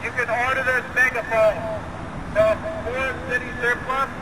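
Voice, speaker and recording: A man's voice: very high-pitched, flat delivery, normal speed. A young man making product test announcements through a megaphone outdoors, heard by a far-off camera. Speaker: product demonstrator. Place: outdoors across an open lot. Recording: distant megaphone, heavy outdoor noise.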